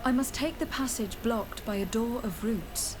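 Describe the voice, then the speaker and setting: A woman's voice: fairly high pitch, normal speed, lightly acted. Woman playing a courteous, determined adventurer, noisy background. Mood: earnest, pleading. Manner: formal, courteous, articulate. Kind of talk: video game character dialogue.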